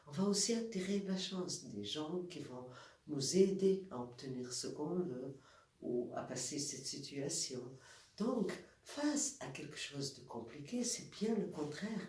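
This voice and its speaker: A woman with a cold, calmly leading a guided exercise as a wellness instructor and demonstrating movements step by step. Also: low voice, measured pace. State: has a cold. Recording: echoey room, voice from far off, faint noise.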